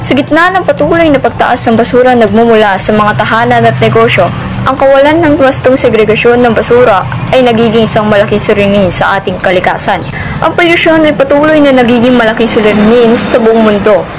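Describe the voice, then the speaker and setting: Female news reporter, fairly high voice, scripted reading with music clearly behind. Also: a little fast, poor audio.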